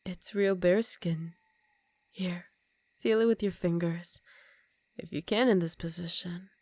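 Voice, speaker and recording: Low, teasing vampire countess acted by a woman. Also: darkish tone, no echo. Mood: haughty, teasing. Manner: slow, teasing, condescending, with breathy half-whispers. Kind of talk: ASMR roleplay.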